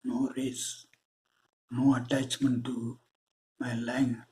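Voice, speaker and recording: A man with an Indian English accent, giving a slow, earnest spiritual monologue with deliberate pauses. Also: mid-range voice, flat delivery, darkish tone, slight background noise. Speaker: spiritual channel host.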